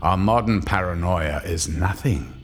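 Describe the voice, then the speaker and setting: An actor playing an ominous, knowing narrator introducing a horror audio drama. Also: male voice, low-pitched, unhurried.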